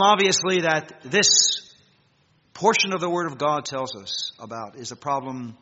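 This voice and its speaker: An American man preaching a sermon in a church meeting hall, reading scripture and stressing words emphatically, swinging between loud peaks and quiet drops. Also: a little high. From United States. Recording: echoey hall, dull lo-fi sound.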